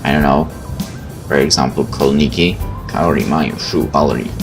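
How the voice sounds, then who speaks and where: A man's voice: a bass voice, moderate pace. A man reading a visual novel's narration and dialogue aloud as a let's player, with casual asides and game music behind.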